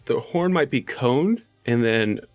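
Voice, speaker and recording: A man's voice: mid-range voice, animated, takes it slowly, no room sound, soft background music. Matter-of-fact man at a game table. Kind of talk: casual game-table talk.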